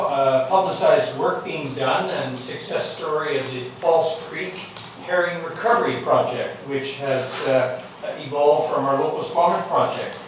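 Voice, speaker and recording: A man's voice: on the high side, slight background noise. A man councillor giving a plain, informative announcement at a meeting. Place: council chamber. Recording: echoey council chamber, far mic.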